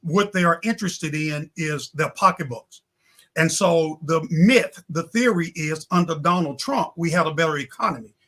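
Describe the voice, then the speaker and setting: A man with a notably high, forceful voice argues his points as a guest on a political talk show. His delivery is emphatic and rhetorical, and he repeats phrases to drive them home. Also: moderate pace. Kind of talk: impassioned political discussion.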